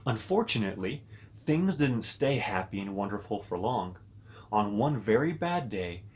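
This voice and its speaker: Expressive man reading a children's story. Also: on the low side. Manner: expressive, talks directly to children. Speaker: pastor and story reader. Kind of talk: read-aloud children's story with asides to young viewers.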